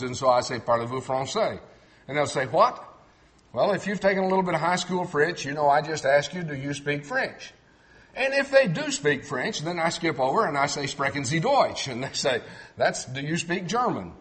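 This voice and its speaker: Male preacher, faint texan accent, clipped and enunciated, slightly high voice, animated pitch. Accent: Texan, trained toward clipped neutral speech. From Texas. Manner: clipped, clearly enunciated delivery, reads verses aloud with emphasis. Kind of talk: sermon teaching from scripture.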